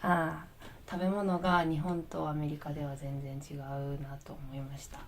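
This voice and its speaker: A young woman from Japan shares quiet observations. Her voice is very low for a woman and stays flat and even. Faint noise sits under the recording. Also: fast talker. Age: young adult. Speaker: international student. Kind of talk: interview testimony.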